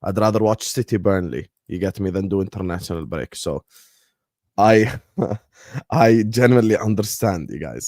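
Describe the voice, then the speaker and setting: A man's voice: fairly low pitch, darkish tone, no room sound. Host, male, easygoing football banter, dry close mic. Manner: chatty, repeats "you get me", mixes in Arabic phrases. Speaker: podcast host.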